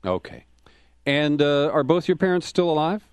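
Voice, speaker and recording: Measured male talk show host, dry sound. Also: a little high, animated, smooth, no room sound. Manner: measured, reads out web addresses slowly. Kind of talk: hosting a political interview.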